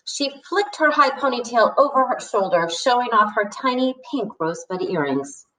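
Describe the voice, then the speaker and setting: Female storyteller for an expressive novel read-aloud; lowish voice, roomy and distant sound. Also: moderate pace. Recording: echoey room, distant from the mic.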